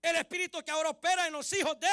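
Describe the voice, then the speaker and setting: A man's voice: extremely high pitch, level tone, very fast, sharp tone, dry recording. A man from Honduras, a pastor preaching a sermon, emphatic and repeating key phrases for the congregation.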